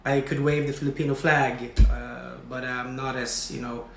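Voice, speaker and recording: A man's voice: mid-range voice, flat delivery, normal speed, creaky. Young Swedish-native man, guest, hesitant and filler-heavy, echoey room with faint hiss. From Sweden. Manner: hesitant, many fillers and restarts. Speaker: podcast guest. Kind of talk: casual conversation.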